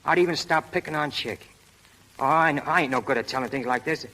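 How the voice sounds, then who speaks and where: An actor playing a brash, hot-tempered but lovestruck prizefight manager, talking in rough street slang in 1930s radio style. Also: male voice, fairly high pitch, fairly quick, pushed and bright, rough recording. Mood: bashful, dejected. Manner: tough, slangy, ungrammatical street talk. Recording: old 1930s radio broadcast recording.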